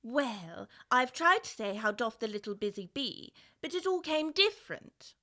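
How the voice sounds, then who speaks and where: A woman's voice: on the high side, sing-song, measured pace, bright, forceful tone, full character voice. A woman playing a polite, timid and puzzled little girl, hesitant and easily flustered, in a full-cast audiobook. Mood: apologetic.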